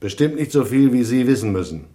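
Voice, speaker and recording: A man's voice: mid-range voice, lively intonation, brisk, dark tone, lightly acted. An actor playing a hardboiled, laconic private detective in a radio drama, narrating his case tersely and dryly. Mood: tough, probing. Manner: terse, dry, unimpressed.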